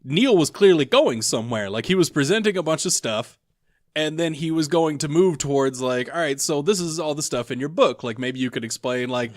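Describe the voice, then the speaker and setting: एक आदमी जो पॉडकास्ट का सह-होस्ट और फ़िल्म समीक्षक है, रूखे, सपाट मज़ाक करता है और बीच-बीच में अटकता है। आवाज़ सूखे, पास के माइक पर रिकॉर्ड है।. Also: male voice, fairly high pitch, brisk, dry recording. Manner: dry deadpan quips, hesitant fillers. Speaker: podcast co-host, movie reviewer. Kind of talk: casual podcast chat.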